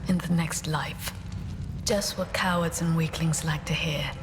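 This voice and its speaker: A woman's voice: sits low, fairly even intonation, a little slow, background noise. An actress playing a cynical, hardened young warrior woman in a video game, blunt and sardonic. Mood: scornful. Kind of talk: video game cutscene acting.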